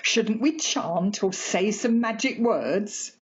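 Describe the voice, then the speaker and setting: A woman acting in a radio play as a brisk, wry, fussing mother with a bossy, scolding manner. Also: medium pitch, lively intonation, husky, muffled recording. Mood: scolding, teasing.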